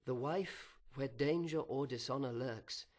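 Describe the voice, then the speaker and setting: Man acting gentle first husband in a verse drama, very slow and measured, earnest, cautious, tender. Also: mid-range voice, natural voice. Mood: earnest concern.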